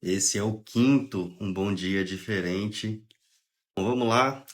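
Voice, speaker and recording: A young man with a Brazilian accent and a cold, clearing his throat now and then, hosting a relaxed spiritist study livestream, reading aloud and explaining in a chatty way. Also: fairly low pitch, takes it slowly. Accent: Brazilian Portuguese. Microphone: phone or webcam on a livestream.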